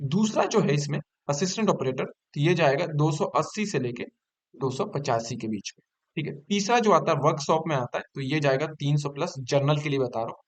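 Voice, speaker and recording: A man giving an informal explanation to exam candidates as an online presenter, repeating figures and key points for emphasis. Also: a little high.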